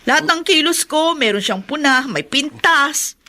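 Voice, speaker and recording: An actress playing an exasperated, wronged young Filipino wife in a radio drama, plaintive and indignant. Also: female voice, high-pitched, expressive, a little slow, noisy background.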